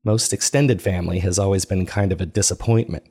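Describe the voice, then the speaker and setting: A man playing a troubled podcast host and family man in an audio drama, confiding in a measured, reflective, gravelly voice. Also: deep voice, steady tone, mellow. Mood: wistful. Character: troubled podcast host, a married father searching for his parents. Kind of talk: confessional first-person audio drama narration.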